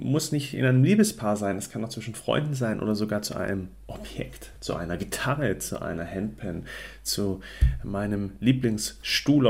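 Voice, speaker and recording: Slightly deep-voiced man in his thirties. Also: vocal fry, darkish tone. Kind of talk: personal recorded statement.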